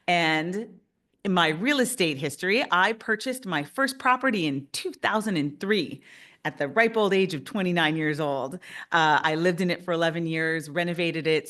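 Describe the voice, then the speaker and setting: A woman's voice: deep voice, a bit bright. A woman in her fifties from Canada, presenting a webinar as a realtor in an upbeat, enthusiastic way.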